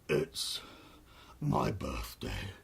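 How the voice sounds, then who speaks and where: A storyteller doing a low, hushed, lonely male wolf. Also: wildly swooping pitch, drawn-out words, creaky, breathy edge, exaggerated voice. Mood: sad, lonely. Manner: subdued, hesitant, downcast. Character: sad, lonely, gentle wolf, male, shy.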